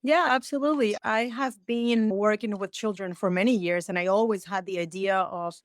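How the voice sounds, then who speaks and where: Smooth-voiced woman with a Peruvian accent. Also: medium pitch, normal speed, no echo, clean studio sound. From Peru. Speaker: interview guest, Montessori educator. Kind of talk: explanatory interview talk.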